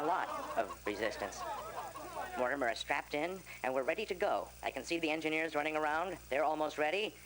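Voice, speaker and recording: A man voicing an excitable cartoon rooster news anchor in a dramatic mock broadcast announcer's manner. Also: mid-range voice, natural voice. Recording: noisy game audio from a stream.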